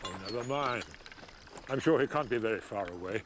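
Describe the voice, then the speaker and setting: A man's voice: on the low side, lively intonation, fairly quick, background noise, lightly acted. An older man acting a plain-spoken, kindly old mountain hermit grandfather in a film drama. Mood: gentle, dry. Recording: film soundtrack with loud music behind.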